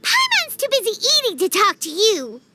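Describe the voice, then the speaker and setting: A woman voicing a tiny, excitable floating fairy girl in a video game, with exaggerated reactions. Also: very high-pitched, normal speed, loud music behind the voice, heavily acted. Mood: grumbling. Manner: refers to herself in third person, exaggerated reactions. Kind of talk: animated game dub acting.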